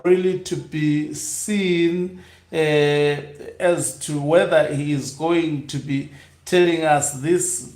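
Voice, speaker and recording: A man hosting an online news broadcast, reading aloud and commenting live in a halting, hesitant way with drawn-out pauses. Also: fairly high pitch, a little mellow. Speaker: online news channel host. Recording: echoey room.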